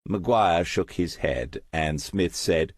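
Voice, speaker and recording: A man narrates a crime novel with an extremely deep, husky voice. His delivery is slow and measured, with a literary storyteller's control. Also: expressive, no echo, clean studio sound. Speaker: audiobook narrator. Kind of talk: scripted crime fiction narration.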